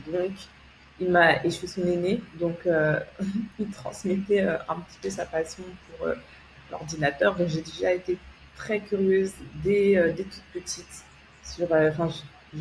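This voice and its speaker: A woman's voice: lowish voice, slight background noise, poor audio. A young woman from France, a guest telling her story in an interview, hesitant, with many fillers and restarts, laughing easily. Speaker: guest, tech founder and consultant.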